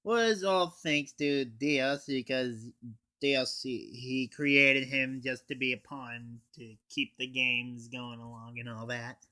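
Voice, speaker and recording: A man's voice: medium pitch, steady tone, slow pace, sharp tone. A teenage male anime reviewer giving an informal comic recap in a dry, slangy way. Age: teens.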